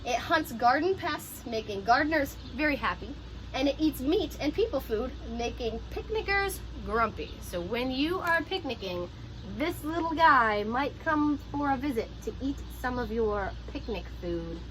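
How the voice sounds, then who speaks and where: A woman's voice: high-pitched, lively intonation, unhurried, fairly bright tone, poor audio. A woman reading a picture book aloud and explaining it simply for young children, in the manner of a teacher.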